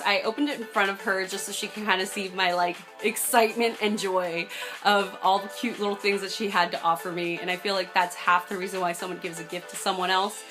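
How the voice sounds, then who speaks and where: A young adult American woman chatting casually to camera as she tells a personal story, bubbly, gushing and emphatic. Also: mid-range voice. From United States. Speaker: vlogger telling a personal story.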